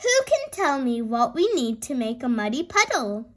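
A woman's voice: sits high, big pitch swings, normal speed. A woman voicing a cheerful father pig from a storybook, who teaches children in an encouraging way. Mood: cheerful, explaining. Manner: encouraging, instructive.

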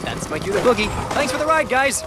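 A man's voice: very high voice, very animated, quick pace, heavy background noise. An actor playing a young, cocky, upbeat male superhero in a video game, with a glib, quipping delivery. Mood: wisecracking. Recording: loud game music over voice.

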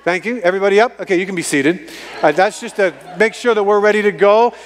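A man preaches to a congregation. His voice sits high for a man, and he leans on repeated phrases with animated emphasis. The sound carries the long reverb of a church hall. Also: quick pace, slight background noise. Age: fifties, fifty-four. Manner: animated, emphatic repetition for effect. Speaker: guest preacher. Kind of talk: sermon to a congregation. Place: large church sanctuary, on stage before congregation.

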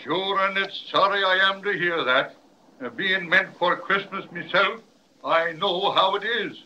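A man's voice: high-pitched, unhurried, pushed and bright, dull audio, a little hiss or hum, exaggerated voice. A man playing a polite, jolly toy policeman with an Irish accent and a folksy, courteous manner in a 1930s children's radio serial. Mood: obliging.

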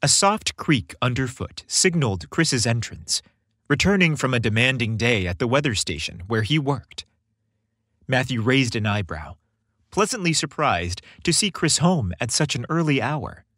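A man's voice: mid-range voice, very animated, moderate pace, a bit bright, no room sound. A man hosting a story podcast, reading scripted narration with a playful, theatrical delivery, close on the microphone.

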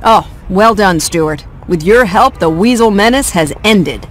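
A harried policeman with a high voice that swings around a lot. He is earnest, a video game character over faint street noise. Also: expressive, a little slow, a bit bright. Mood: grateful. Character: harried, earnest male city police officer. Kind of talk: video game cutscene voice acting.

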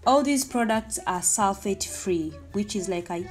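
A woman's voice: medium pitch, moderate pace, loud music behind the voice. A young woman whose first language is Swahili, chatting in a casual hair tutorial, explaining each step and slipping Swahili words in. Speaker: hair vlogger.

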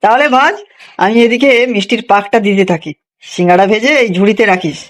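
A woman voicing an expressive village sweet-shop uncle, familiar and quick-tempered, in a children's cartoon. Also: mid-range voice, lightly acted. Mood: weary, kindly. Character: busy village sweet-shop man, friendly but quick to anger.

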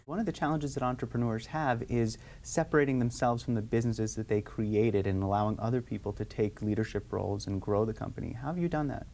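A man interviewing a guest on a conference set, asking brisk questions and nodding along with short acknowledgements. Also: mid-range voice, vocal fry, darkish tone.

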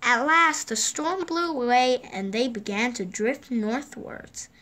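A woman with a high, clear, bright voice reads a children's storybook aloud. She takes her time, pausing between phrases. She lifts her voice for each character's quoted line. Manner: slow, gentle read-aloud pacing with lifted voices for quoted lines. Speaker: storybook reader. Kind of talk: reading a picture book aloud. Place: indoors at a table. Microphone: phone or camera filming the book from above.

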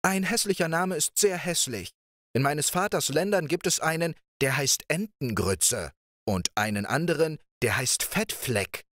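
A man voicing a cheerful, carefree young princess with a playful, lively way of speaking. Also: a little high, measured pace, dry recording, clean studio sound, pitched above the performer's own voice. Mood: teasing. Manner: bright, playful, lively questioning.

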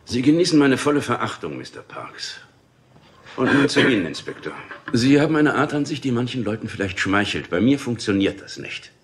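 An actor playing a haughty, condescending boss in a dubbed crime drama. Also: male voice, medium pitch, sing-song, brisk, a little hiss or hum, close to an everyday voice. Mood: contemptuous. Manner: cold, condescending. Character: haughty, contemptuous male studio boss.

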